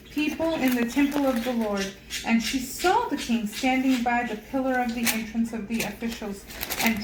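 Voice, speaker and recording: Woman, higher-pitched voice, unhurried halting scripture reading, roomy echo. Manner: halting, stumbles over unfamiliar names. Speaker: Bible reader. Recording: roomy echo with loud background noise.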